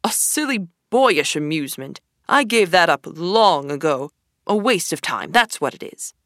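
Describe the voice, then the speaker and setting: A woman voicing a boastful, excitable male toad in a storybook reading, gushing and showy. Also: lowish voice, unhurried, no room sound, studio quality. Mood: excited boasting.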